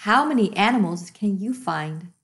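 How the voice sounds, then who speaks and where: A woman hosting storytime, reading a children's picture book aloud in a deliberate, expressive way to young listeners. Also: medium pitch. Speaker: storytime host and reader.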